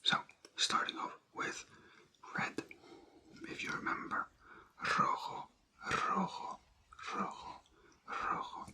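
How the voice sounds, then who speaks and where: Hushed whispering language tutor, very slow. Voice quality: breathy, unvoiced whisper. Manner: slow, repetitive, spelling words out letter by letter. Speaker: language teacher. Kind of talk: whispered ASMR Spanish vocabulary lesson.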